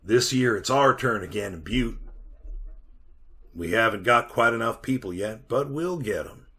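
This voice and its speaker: An actor playing a sinister, haunted survivor who serves an underground power, telling his tale deliberately in a retro radio drama. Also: mid-range voice, expressive, pushed and bright, slightly rough, exaggerated voice. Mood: quietly menacing. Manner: ominous, deliberate storytelling.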